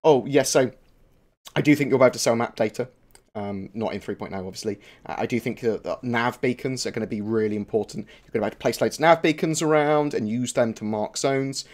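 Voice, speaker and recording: A man's voice: medium pitch, fairly quick, darkish tone. Rambling man streaming. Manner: rambling, swears, many fillers and restarts. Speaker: streamer answering viewer questions. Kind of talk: casual live-stream chat.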